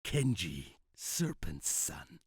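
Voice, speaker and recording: A man playing a proud, defeated warlord in a video game, speaking deliberately with a wry, taunting edge. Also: sits low, very animated, breathy edge, dry recording, studio quality, exaggerated voice. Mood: resigned. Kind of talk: dramatic video game cutscene acting.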